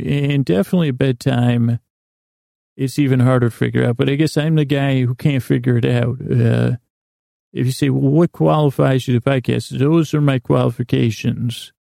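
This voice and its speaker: A man's voice: medium pitch, normal speed, warm tone, no echo, studio quality. A man hosting a bedtime podcast in a meandering monologue, stammering and repeating words as he goes. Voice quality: gravelly, creaky. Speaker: podcast host. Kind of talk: rambling bedtime monologue to help listeners sleep.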